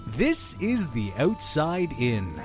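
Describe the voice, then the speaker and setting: An actor playing a chatty, pushy innkeeper of a mysterious inn in an audio drama, with an effusive, theatrical manner. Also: male voice, fairly high pitch, wildly swooping pitch, slow, soft background music, exaggerated voice. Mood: cheery, welcoming. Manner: effusive, talking over his guest.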